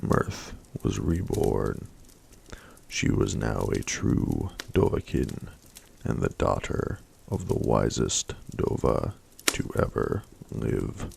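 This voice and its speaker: A man reading a fantasy story aloud in a hushed, mostly whispered ASMR style. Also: extremely low pitch, sing-song, slow pace, gravelly, breathy edge. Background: faint campfire crackle.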